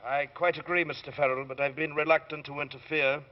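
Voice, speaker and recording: A man's voice: on the high side, level tone, fairly quick, lightly acted. Stern radio-drama judge played by a man. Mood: dry. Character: stern, dry courtroom judge, formal and reproving. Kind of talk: 1940s radio drama acting. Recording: dull, band-limited old radio broadcast.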